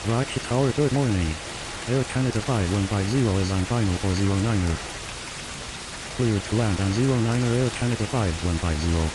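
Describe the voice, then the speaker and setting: A man voicing a calm airline pilot in a flight control game, speaking in clipped, formulaic radio phraseology. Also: lowish voice, brisk, natural voice. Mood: businesslike. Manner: formulaic, procedural. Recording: loud hiss over radio-style game audio.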